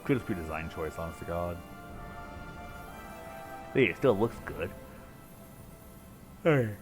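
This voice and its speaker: A man's voice: deep voice, expressive, takes it slowly. A man livestreaming a video game, reading dialogue aloud and commenting casually. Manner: casual, sweary interjections. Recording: game music behind the voice. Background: video game music.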